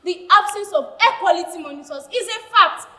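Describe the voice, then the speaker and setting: A woman's voice: very high voice, animated, pushed and bright, exaggerated voice. A woman playing a fiery Nigerian schoolgirl. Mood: arguing. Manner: emotional, heated, quick to argue. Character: fiery young female footballer. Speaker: football player, student. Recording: echoey hall.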